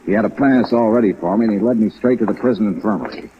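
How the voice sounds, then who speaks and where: Man playing a low-voiced hard-boiled investigator. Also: a little hiss or hum, natural voice. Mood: dry, businesslike. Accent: American. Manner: brisk, clipped, matter-of-fact. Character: hard-boiled but decent male insurance investigator, dryly businesslike. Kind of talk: 1950s radio detective acting and first-person narration. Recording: muffled old radio recording, rain over it.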